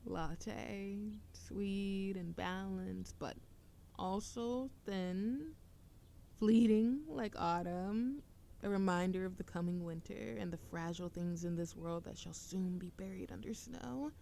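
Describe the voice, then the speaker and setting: Slow-talking, teasing young woman played by an actress. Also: mid-range voice, mellow, a little hiss or hum. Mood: wistful, mock-poetic. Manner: playful, teasing, breathy laughs and drawn-out phrases. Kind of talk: intimate roleplay audio acting, one-sided dialogue.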